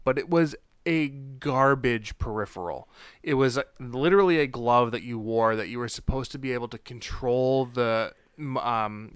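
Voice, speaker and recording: A man co-hosting a casual film recap, retelling things in an animated, joking way with some mild swearing. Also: mid-range voice, clean tone, dry recording, studio quality. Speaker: podcast co-host recapping a film. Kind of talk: casual chat.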